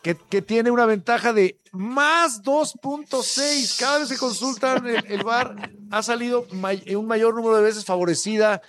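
A Mexican man on a football talk panel with a very high voice. He walks through stats and drops wry, dry quips. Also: unhurried, a bit bright. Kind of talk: presenting stats on a sports panel.

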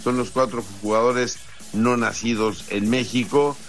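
A man with a Mexican accent giving conversational sports news commentary in a measured way, pausing between points. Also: mid-range voice, steady tone. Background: music.

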